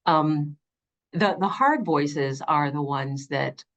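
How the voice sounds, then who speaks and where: A woman's voice: low-pitched, slow, fairly bright tone. A middle-aged woman giving conversational, emphatic interview answers as an audiobook narrator.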